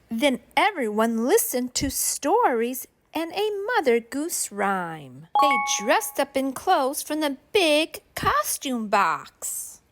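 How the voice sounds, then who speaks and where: A woman reading a rhyming picture book to preschoolers in an expressive, deliberate, sing-song storytelling way. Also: high voice, very noisy. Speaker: children's story reader and channel host.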